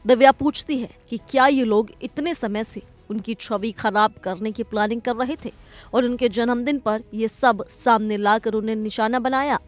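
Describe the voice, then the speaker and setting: Woman narrating showbiz news from a script, highish voice, music playing behind. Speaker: news presenter. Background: background music.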